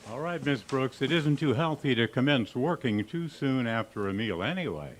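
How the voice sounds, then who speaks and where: A man's voice: medium pitch, animated, moderate pace, slight background noise, lightly acted. A man playing a shy, literal-minded suitor in a vintage radio sitcom, hesitant and earnestly polite. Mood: earnest, oblivious.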